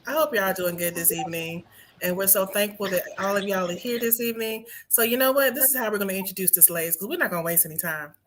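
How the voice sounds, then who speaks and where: Woman host, bright tone, upbeat and chatty, talking to viewers over a video call, faint background hiss. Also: medium pitch, fast. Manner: upbeat, chatty, speaks straight to the women watching. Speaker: host of online panel show. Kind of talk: lively show hosting, addressing viewers.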